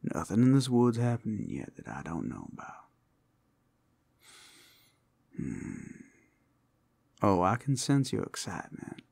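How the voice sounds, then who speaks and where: A man voicing a teasing, possessive male werewolf in an ASMR roleplay, in a breathy, growling, playful murmur. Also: huge pitch swings, normal speed, a little mellow, full character voice. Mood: teasing, excited. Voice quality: gravelly, breathy, low.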